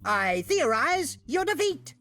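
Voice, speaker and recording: An actor playing a small, eccentric, excitable yordle scientist in a video game, with a cartoonish voice and pedantic, theatrical delivery. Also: male voice, takes it slowly, heavily acted. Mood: scholarly, confident. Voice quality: high-pitched cartoonish voice. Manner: pedantic, theatrical, wordy technical phrasing. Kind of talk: video game character voice lines.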